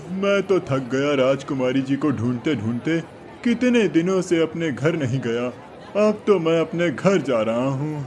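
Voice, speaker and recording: A man's voice: on the high side, lively intonation, unhurried, a little mellow, some chatter behind, slight background noise. A man voicing a weary, grumbling royal soldier in a Hindi cartoon story.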